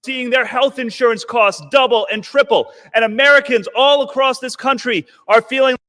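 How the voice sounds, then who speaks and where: A man's voice: extremely high pitch, steady tone, moderate pace, fairly bright tone. Indignant congressman in an echoing chamber. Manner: sarcastic, indignant. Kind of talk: floor speech. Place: legislative chamber. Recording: long reverb of a large chamber.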